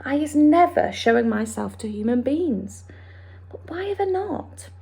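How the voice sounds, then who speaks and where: A woman's voice: high-pitched, big pitch swings, measured pace, creaky, dark tone, voice pushed up, exaggerated voice. A woman voicing a big, kindly male giant who talks in mangled grammar and made-up words. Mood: stubborn. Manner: ungrammatical, invented words.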